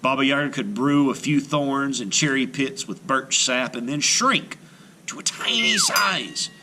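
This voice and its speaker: A man's voice: mid-range voice, pushed and bright, a little hiss or hum, low-quality recording. A man reading a folktale aloud to children in a theatrical, emphatic storytelling style. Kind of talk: dramatic children's read-aloud narration.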